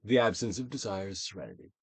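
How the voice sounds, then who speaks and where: A man with a low voice reads aloud like a stage actor giving a dramatic recital. His delivery is solemn and declamatory, with wide swings of intonation. The sound is close and dry, with very little room around it. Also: lively intonation, fast, slightly rough, dry recording. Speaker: actor reading a book aloud. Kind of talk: dramatic audiobook reading, theatrical Shakespearean delivery.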